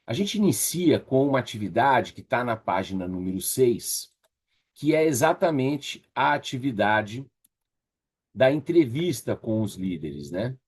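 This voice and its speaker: A Brazilian man with a slightly husky voice teaching an online class in a conversational, encouraging way, often checking in with his listeners. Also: medium pitch, very animated, unhurried, a little mellow. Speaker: course instructor leading an online workshop. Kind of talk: casual interactive online teaching.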